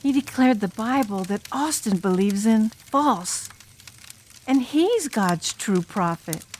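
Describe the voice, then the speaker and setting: A woman voicing an enigmatic drum major student telling a demon horror tale in an audiobook, with ominous, drawn-out, dramatic delivery. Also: a little high, expressive, darkish tone, a little hiss or hum. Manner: ominous, drawn-out, dramatic, sometimes dropping to a whisper. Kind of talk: audiobook ghost story narration in character.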